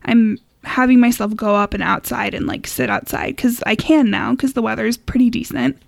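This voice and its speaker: Female podcast host, casual and reflective, higher-pitched with pronounced creak, close dry sound. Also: fairly even intonation, moderate pace, dry recording. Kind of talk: casual chat.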